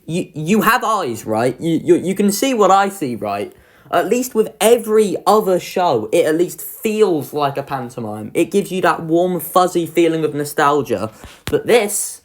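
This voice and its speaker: Low-pitched woman with lively, casual delivery. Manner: informal, sardonic, swears. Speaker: video host ranking children's shows. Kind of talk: casual opinionated video commentary.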